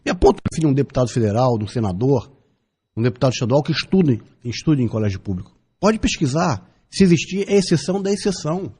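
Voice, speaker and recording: A man's voice: mid-range voice, big pitch swings, fast, darkish tone, husky. Emphatic man in his fifties, radio commentary. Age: 50s. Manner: emphatic, builds arguments with repetition and rhetorical questions. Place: radio studio.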